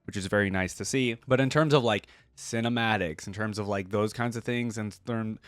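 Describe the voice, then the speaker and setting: A man's voice: fairly low pitch, a little fast, a bit bright, no echo. A man hosting a reaction video, speaking in an informal, chatty way as he comments and reads along. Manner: informal, chatty, with exclamations and questions. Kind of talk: casual reaction commentary with some reading aloud.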